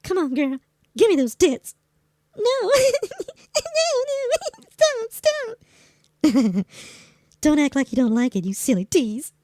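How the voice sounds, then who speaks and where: A woman's voice: high voice, wildly swooping pitch, slow, pauses often, a little mellow, dry recording, studio quality, heavily acted. A woman playing a brash, foul-mouthed, impatient nag in a comedic audio drama, brusque and colloquial. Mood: teasing.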